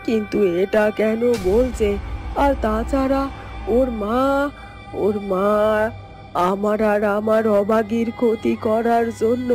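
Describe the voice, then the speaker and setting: A woman plays a protective mother turned bird in a fairytale cartoon. Her voice is mellow and fairly high, fretful as she wonders aloud, with music underneath. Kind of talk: Bengali fairytale cartoon voice acting.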